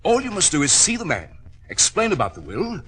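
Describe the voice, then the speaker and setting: An actor playing an eager, persuasive American man in an excitable 1940s radio drama style. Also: a little high, fairly quick. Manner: excitable, emphatic, insistent. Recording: vintage radio drama recording.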